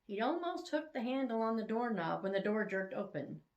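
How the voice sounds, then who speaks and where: A woman reading fiction aloud in an expressive, dramatic storytelling style. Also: a little high, normal speed, highs cut off.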